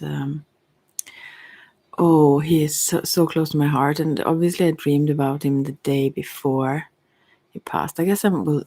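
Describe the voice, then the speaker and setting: Casting: middle-aged Swedish woman, soft-spoken ASMR ramble, gravelly fry, very dry close recording. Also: low voice, no echo. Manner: rambling, trailing off mid-thought. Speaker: ASMR creator and tarot reader. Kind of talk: unscripted reflective ASMR monologue.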